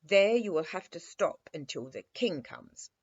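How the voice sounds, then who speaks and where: Woman as a gruff, pompous toy soldier captain. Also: on the low side, lively intonation, unhurried, muffled recording. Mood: stern, pompous. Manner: gruff, severe, self-important.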